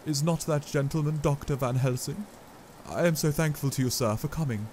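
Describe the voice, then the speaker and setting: A man voicing an eager, anxious young gentleman who pleads breathlessly. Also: on the high side, a little fast, a little hiss or hum, voice pushed up, natural voice.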